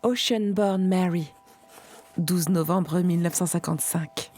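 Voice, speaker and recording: A woman playing a sensitive, haunted female clairvoyant in an audio story, speaking in a hushed, confiding, earnest way. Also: lowish voice, a little slow, soft background music, natural voice. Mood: solemn resolve.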